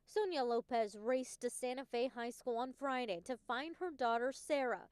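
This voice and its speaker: A woman's voice: a little high, moderate pace, heavy background noise. A woman working as a field reporter, delivering broadcast news reports in a scripted, on-location style. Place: outdoors at a memorial for live reports.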